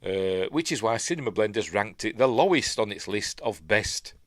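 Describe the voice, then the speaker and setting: A man's voice: lowish voice, expressive, moderate pace, dry recording, studio quality. A man with a Yorkshire accent, sniffly from a stuffy nose, hosting a live news stream in a rambling, chatty way full of mumbled asides. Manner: chatty, self-interrupting asides and mumbled afterthoughts.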